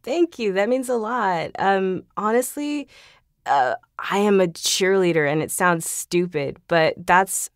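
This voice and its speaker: A woman's voice: medium pitch, measured pace, no room sound, clean studio sound. A woman voice actor guesting on a podcast, bubbly and laughing, with frequent ums. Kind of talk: casual interview.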